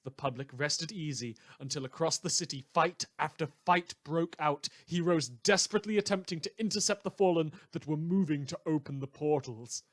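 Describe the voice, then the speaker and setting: A man performs a snide, excitable small-town radio host in an audio drama. His voice sits a little high for a man. He delivers mock news with theatrical sarcasm, shifting between low asides and loud emphasis. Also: normal speed, few pauses, natural voice. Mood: sardonic. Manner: sardonic, theatrical, swinging from muttered asides to outbursts.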